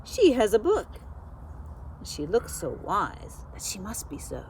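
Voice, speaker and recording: A woman's voice: medium pitch, wildly swooping pitch, a little slow, slight background noise, rough recording. A woman voicing an earnest, credulous male rooster in a children's storybook, speaking emphatically. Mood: impressed. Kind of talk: children's storybook character reading.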